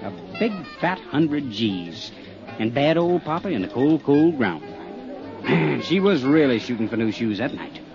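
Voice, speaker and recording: An American actor playing a slangy, wisecracking roadhouse owner with a breezy, sardonic patter in a 1950s radio drama. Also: male voice, medium pitch, lively intonation, measured pace. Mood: cynical, needling. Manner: jive-talking, breezy, sardonic. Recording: dull vintage radio recording, music behind.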